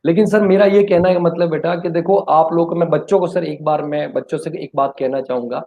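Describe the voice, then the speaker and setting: Impassioned male teacher, slightly high voice. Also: fairly even intonation, fairly quick, phone-quality sound. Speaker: exam coaching teacher. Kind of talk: casual chat.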